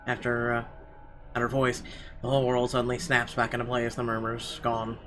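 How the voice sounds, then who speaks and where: A man's voice: medium pitch, completely flat delivery, moderate pace. Man narrating dramatically over music. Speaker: let's player. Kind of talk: dramatic read-aloud narration of horror visual novel. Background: game music.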